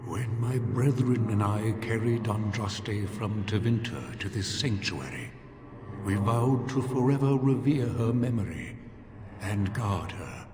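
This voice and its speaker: An actor playing an ancient, devout temple guardian in a fantasy video game, speaking in a solemn, formal, measured way. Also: male voice, on the low side, natural voice. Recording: cavernous echo, noisy ambience behind.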